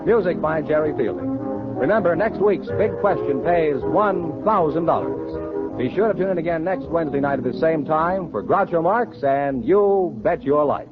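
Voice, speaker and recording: A man's voice: a little high, expressive, moderate pace, phone-quality sound, over music. An American man announcing in 1940s radio style on a vintage recording. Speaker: show announcer.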